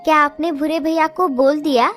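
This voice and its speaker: A young expectant sparrow pleads with her husband in a very high, light voice, voiced by a woman. She sounds anxious, and soft cartoon music plays behind her. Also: fairly even intonation, normal speed, close to an everyday voice. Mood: pleading.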